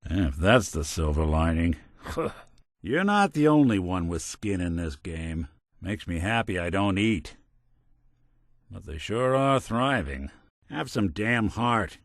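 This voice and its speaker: An actor playing a world-weary, wry but kindhearted android detective in a video game, with hardboiled old-fashioned slang. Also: male voice, deep voice, big pitch swings, slow pace, dry recording, clean studio sound, heavily acted. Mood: stern.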